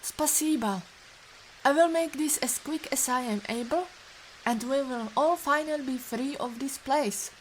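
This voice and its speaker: A resolute woman rescuer with a Russian accent and a somewhat high voice. She speaks in formal, clipped commands, protective but brusque. Light hiss and rain sit behind her in this audio drama. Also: unhurried, low-quality recording. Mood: anxious, tender.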